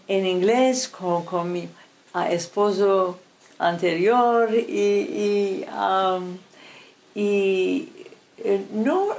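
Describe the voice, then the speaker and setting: Older woman, grew up in turkey, first language french, super slow and halting, keeps restarting words. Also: medium pitch, a little mellow. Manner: halting, many restarts and fillers. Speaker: interviewee. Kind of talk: recounting her life in an interview.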